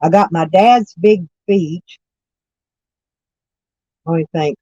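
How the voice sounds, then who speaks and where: A hoarse woman with stuffy sinuses, rambling folksily as she chats with live viewers from her camper van. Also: fairly low pitch, takes it slowly, dark tone, no room sound, highs cut off. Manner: rambling, folksy, self-correcting. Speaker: vlogger chatting with live viewers. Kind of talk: casual morning chat.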